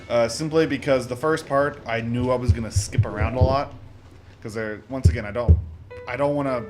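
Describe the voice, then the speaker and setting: A young man hosting a let's-play, chatting casually and rambling with lots of fillers and self-corrections. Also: mid-range voice. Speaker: gaming channel host. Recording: game music playing behind voice. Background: video game music.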